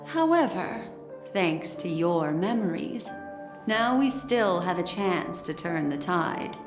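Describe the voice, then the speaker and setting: An actress playing an elegant, enigmatic keeper of memories in a video game, speaking in a poised, sultry way. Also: female voice, fairly low pitch, animated, takes it slowly, reverberant, music in the background. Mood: composed, explaining. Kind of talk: video game story dialogue.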